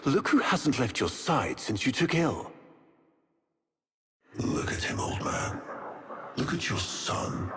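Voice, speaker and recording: A man playing a cruel, haughty aristocratic Executor villain in a video game, with theatrical, measured, formal diction and silky menace. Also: lowish voice, full character voice. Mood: cruel, taunting. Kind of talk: dramatic video game character acting. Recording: long echoing hall reverb, some noise.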